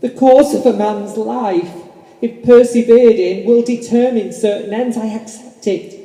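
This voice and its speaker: Casting: performer acting an exuberant, pleading old miser for a radio play, unhurried and mellow, with emphatic repeats. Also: medium pitch, fairly even intonation, close to an everyday voice. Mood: desperate pleading. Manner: theatrical, emphatic, repeats phrases. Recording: echoey hall, long reverb.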